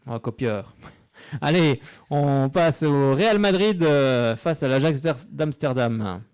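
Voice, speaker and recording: A man's voice: mid-range voice, lively intonation, slow, darkish tone, smooth, no echo, studio quality. Chatty male radio sports host. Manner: chatty, many hesitant fillers. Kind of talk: live radio sports talk. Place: local radio studio.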